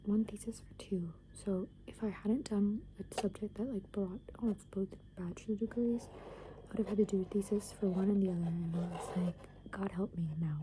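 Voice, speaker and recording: A young adult woman rambles in a breathy ASMR whisper, hesitating and leaning on filler words as she goes. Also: mid-range voice, fairly even intonation, brisk, mellow, poor audio. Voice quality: breathy, mostly unvoiced whisper. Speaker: ASMR video creator. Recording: clear background noise.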